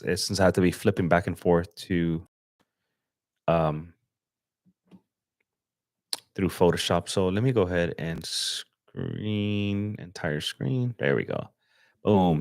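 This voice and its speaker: A young man from Los Angeles chatting casually on a livestream as he edits photos, relaxed and slangy, thinking aloud with fillers. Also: low-pitched, no room sound, studio quality. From Los Angeles, USA. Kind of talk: casual livestream chat while editing. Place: home desk setup.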